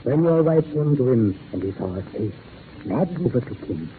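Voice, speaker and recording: An actor playing a solemn magician who summons the dead, speaking gravely and formally in 1940s radio horror style. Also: male voice, mid-range voice, lively intonation, normal speed, natural voice. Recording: tinny, noisy 1940s radio recording.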